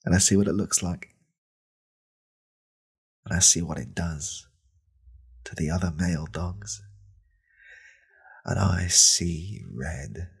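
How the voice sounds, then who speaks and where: Man playing very deep, gravelly, pompous podcast host. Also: exaggerated voice. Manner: pompous, deliberate, deadpan ranting. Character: angry right-wing male podcast host, pompous, conspiratorial. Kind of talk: deadpan parody podcast monologue.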